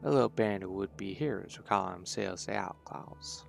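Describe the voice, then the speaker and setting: Sly outlaw dragon go-between, male actor, low and thick with gravel, persuasive, audio drama style. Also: moderate pace. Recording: background music under the voice.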